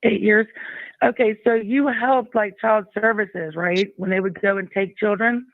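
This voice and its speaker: A man's voice: high-pitched, fairly even intonation, measured pace, no room sound. A man calling into a live show, insistent and rambling, talking over the host. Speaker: caller. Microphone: phone. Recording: phone line, dry.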